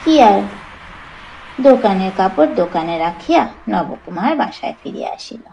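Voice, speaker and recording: A woman reading a literary story aloud as an expressive storyteller, in dramatic audiobook narration. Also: mid-range voice, moderate pace, creaky, slight background noise, low-quality recording.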